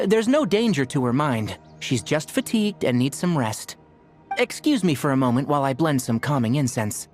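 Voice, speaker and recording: An actor playing a polite, composed young male forest ranger who speaks calmly and courteously. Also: fairly high pitch, close to an everyday voice. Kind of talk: game cutscene voice acting. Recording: studio voice with faint music.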